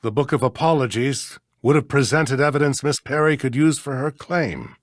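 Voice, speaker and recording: An actor playing a stuffy, easily flustered middle-aged judge with formal, precise diction in a video game. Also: male voice, mid-range voice, animated, normal speed, dry recording, clean studio sound, close to an everyday voice. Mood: grave, explanatory. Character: stuffy, flustered, defensive small-town male judge.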